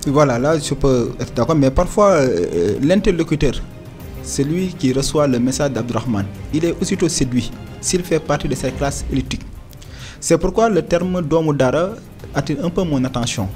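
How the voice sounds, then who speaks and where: A man's voice: fairly high pitch, lively intonation, moderate pace, darkish tone, faint music behind. A man, interviewing a politician on the radio and pressing him with challenging questions.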